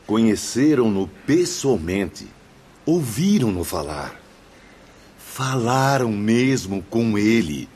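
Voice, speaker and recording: Slow, expressive devout spirit. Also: male voice, on the low side. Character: earnest, devout male spirit marveling at Christ. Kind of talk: radio drama acting. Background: faint background music.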